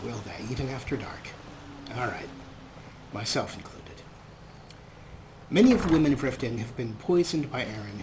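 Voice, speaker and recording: A man hosting a video game let's play, giving chatty, wry commentary with a showman's touch. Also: mid-range voice. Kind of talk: gameplay commentary. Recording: clearly noisy, game ambience underneath.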